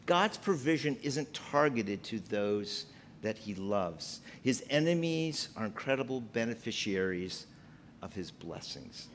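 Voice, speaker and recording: A middle-aged man delivers a sermon in a church sanctuary, his voice rising and falling in lively swings as he presses his points. He speaks at a medium pitch through a pulpit mic. A faint low rumble sits under his voice. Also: measured pace. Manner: preaching with wide pitch swings, emphatic and gesturing. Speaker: pastor.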